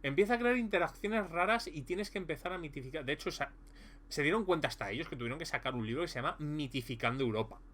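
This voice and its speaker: A man's voice: fairly high pitch, lively intonation, fast talker, fairly bright tone. A man casually reviewing a tabletop role-playing game, rambling with many fillers and restarts. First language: Spanish.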